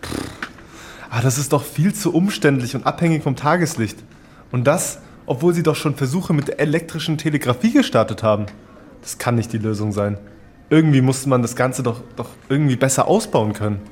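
A man's voice: medium pitch, fairly quick, darkish tone, slight background noise, close to an everyday voice. A man playing an earnest, brooding nineteenth-century Prussian inventor in a formal, declamatory audio drama monologue. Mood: worried, brooding.

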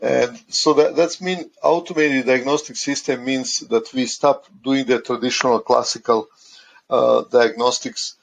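Gravelly middle-aged man. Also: mid-range voice, fairly even intonation. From Croatia. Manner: halting, searching for words in non-native English. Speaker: guest, asset condition manager. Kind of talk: conversational podcast interview.

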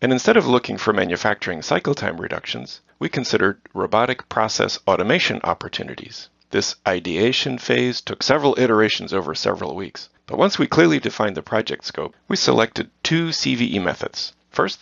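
A middle-aged man from the Netherlands, a guest giving measured, prepared answers in a corporate interview. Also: on the low side, very animated, gravelly, a bit bright, no echo. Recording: dull lo-fi dry sound.

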